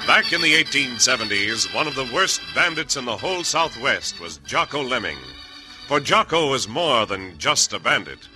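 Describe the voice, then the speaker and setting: An American man narrates a vintage radio adventure with dramatic flourish. His mid-pitched voice declaims the script while organ music plays loudly behind him. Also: sing-song, normal speed, low-quality recording. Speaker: announcer and narrator. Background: loud organ music.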